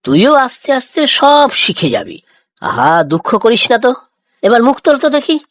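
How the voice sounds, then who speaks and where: A woman voicing a kind, patient village farmer father in a moral cartoon, gently coaxing and reassuring. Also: on the high side, big pitch swings, normal speed, dry recording. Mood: gently consoling. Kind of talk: Bengali moral cartoon dialogue.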